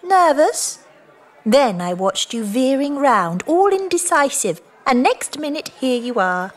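Brief: sharp-witted flirt in a radio play; fairly high, swooping voice, mocking and clipped. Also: female voice, sing-song, measured pace, heavily acted. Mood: teasing, provocative. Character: brazen, teasing, sharp-witted younger woman. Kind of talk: audio drama dialogue.

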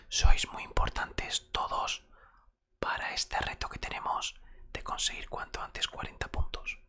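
Whispering Spanish man doing ASMR roleplay. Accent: Spanish from Spain. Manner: soft, unhurried, conversational. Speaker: ASMR creator playing a football coach. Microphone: close desktop microphone.

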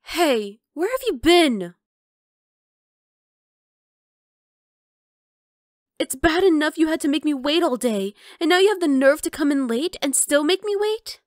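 Woman acting a high-voiced, teasing vampire girl. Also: lively intonation, normal speed, no echo, clean studio sound. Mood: indignant. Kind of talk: ASMR roleplay.

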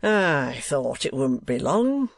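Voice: medium pitch, sing-song, slow pace, gravelly, no echo, exaggerated voice. A performer voicing a vulgar schoolmaster from a Victorian novel, with coarse, uneducated speech and oily jocularity. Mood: brisk.

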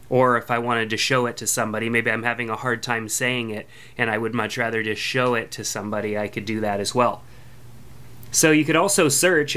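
Bright, smooth-voiced male podcast host. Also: mid-range voice. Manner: relaxed, filler-heavy, friendly. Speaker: podcast host reviewing an app. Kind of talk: casual product review voice-over.

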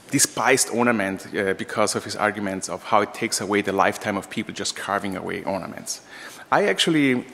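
Low-voiced Austrian man lecturing in a reverberant hall. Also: fairly bright tone. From Austria, raised in Vienna. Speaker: architecture professor and practicing architect. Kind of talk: lecture to an audience. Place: large room, indoors, at a lectern. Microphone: gooseneck lectern microphone.

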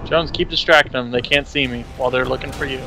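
A man's voice: medium pitch, fairly even intonation, fast, bright, forceful tone, slight background noise, poor audio. A man co-hosting a gaming show, chatting casually during co-op play with wry, mock-boastful remarks. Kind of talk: casual co-op game commentary.

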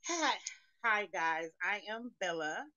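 A woman hosting a casual dating show, chatty, with soft-spoken asides. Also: mid-range voice, expressive, slow, clean tone, highs cut off. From Germany, raised in Panama. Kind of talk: casual chat.

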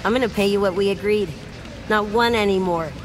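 A woman's voice: medium pitch, a little fast, close to an everyday voice. A woman voicing a guarded young female drifter in video game dialogue, terse, dismissive and defiant. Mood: curt, irritated.

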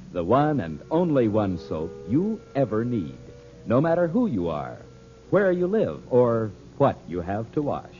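Vintage radio announcer: man, low and mellow, lively intonation, dull old recording. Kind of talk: 1940s radio advertising read.

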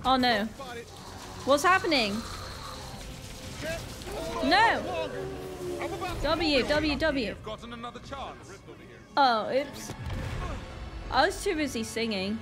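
A young woman with a Northern Irish accent talks through a game, sounding sick and a little off. She is easily flustered and exclaims often, speaking slowly in a slightly high voice, with faint music behind. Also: lively intonation. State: sick, voice a bit off. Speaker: gaming YouTuber. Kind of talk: casual let's-play commentary.

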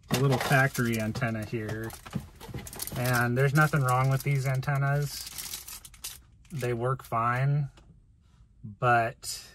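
A man's voice: medium pitch, fairly bright tone, slight background noise. A man in his thirties giving a casual, plain-spoken hands-on gear walkthrough from inside a parked vehicle. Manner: plain-spoken, informal explaining. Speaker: video host showing gear. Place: inside a parked van cab.

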